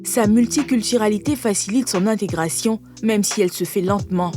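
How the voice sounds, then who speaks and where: A woman's voice: mid-range voice, fairly quick. Woman narrating a TV portrait over music. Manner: polished, even narration. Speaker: report narrator. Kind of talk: scripted documentary voice-over.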